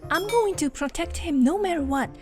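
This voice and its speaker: A woman's voice: very high voice, expressive, moderate pace. A woman playing an earnest teenage single mother, narrating her own story in a dubbed manga drama. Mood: determined. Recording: music behind the voice. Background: music.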